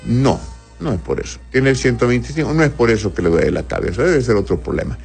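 A man hosting a call-in medical advice show, calmly explaining conditions and reading listeners' messages aloud. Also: medium pitch, quick pace, gravelly, soft background music. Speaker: radio doctor answering listener questions.

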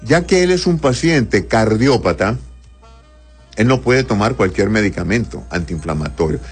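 Calm male radio doctor, faint music. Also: fairly low pitch, normal speed, breathy edge. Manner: calm, explanatory, reads messages aloud. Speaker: radio doctor answering listener questions. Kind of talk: call-in medical advice show.

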